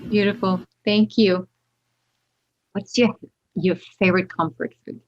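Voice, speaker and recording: A woman hosting an interview, asking icebreaker questions in a halting way with frequent restarts. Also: mid-range voice, steady tone, dull audio. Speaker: host.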